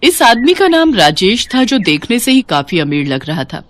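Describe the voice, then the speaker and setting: A woman narrating a scripted children's story in an expressive storytelling style. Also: a little high, moderate pace, slight background noise.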